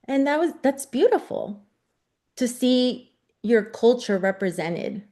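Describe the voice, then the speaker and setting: A woman's voice: a little high, lively intonation, takes it slowly. A woman from the Bay Area whose first language was Spanish, speaking eagerly as a guest with many "you know"s. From San Francisco Bay Area. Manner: eager, warm-spoken, frequent "you know". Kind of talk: casual talk on a radio show.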